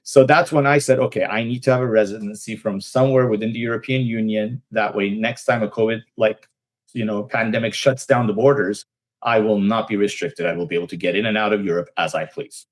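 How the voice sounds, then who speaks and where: A man's voice: on the low side, steady tone, a little fast, no echo. A man who works as an immigration attorney, telling anecdotes as a podcast guest with frequent "uh" fillers. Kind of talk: casual podcast interview.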